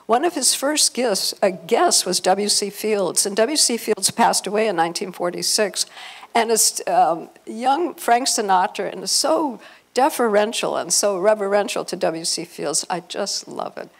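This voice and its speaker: An older American woman giving a halting, informal talk to an audience through a handheld microphone. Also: medium pitch, expressive, gravelly. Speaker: event speaker. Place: hotel meeting room.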